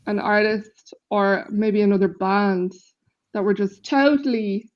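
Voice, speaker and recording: Casting: deep-voiced woman, musician interviewee, relaxed and chatty, slightly dull call audio. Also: measured pace. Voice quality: self-described deep voice. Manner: chatty, laughs easily, many fillers. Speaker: band member interviewee. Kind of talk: casual interview chat.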